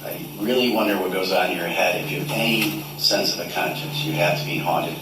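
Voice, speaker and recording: A man's voice: deep voice, brisk, music in the background. A man speaking as a judge at a courtroom sentencing, stern and formal. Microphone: distant microphone. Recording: very echoey, noisy, distant courtroom audio.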